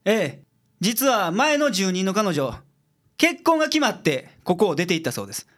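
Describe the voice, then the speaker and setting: A man's voice: sits high, very animated, moderate pace, fairly bright tone. A man playing a blunt, logical private detective with a Kansai accent in a radio drama. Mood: composed, explaining. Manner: explanatory, blunt with his juniors. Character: gruff, logical male private detective, secretly soft-hearted.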